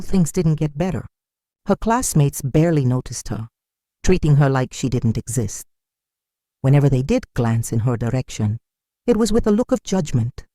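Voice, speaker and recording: A narrator reading a scripted dramatic story in a measured storytelling manner. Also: medium pitch, mellow, no room sound, clean studio sound.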